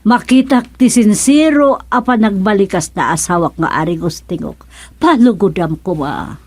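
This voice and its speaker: A woman's voice: mid-range voice, animated, normal speed, darkish tone, close to an everyday voice. A woman playing a concerned queen in a Filipino radio comedy drama, speaking with a Filipino accent in a theatrical, courtly way. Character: concerned queen, wife of the comic king.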